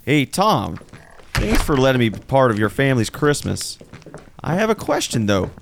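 A man's voice: mid-range voice, very animated, moderate pace, vocal fry, background noise. A man playing a friendly, earnest neighbor in an audio drama. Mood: earnest, searching. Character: friendly, folksy Southern male neighbor, curious and earnest. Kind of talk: church audio drama acting.

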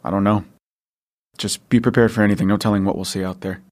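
An actor playing a weary, gruff father surviving after the end of the world, talking in short clipped lines. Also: lowish voice, fairly even intonation, quick pace, a little mellow, close to an everyday voice. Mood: tense calm. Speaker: father character John.

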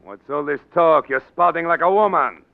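High-pitched, blustering crooked political boss. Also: male voice, moderate pace, vocal fry. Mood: indignant, blustering. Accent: American. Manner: blustering, sarcastic, gangster-like. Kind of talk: 1940s radio drama acting. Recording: dull vintage 1940s radio recording.

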